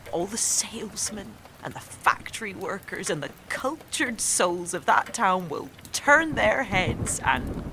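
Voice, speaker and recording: A young woman playing a fragile, distraught, erratic young actress in a naturalistic audio drama, speaking in a halting, breathy, agitated way. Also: medium pitch, noisy background. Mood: bitter, ashamed. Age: young adult.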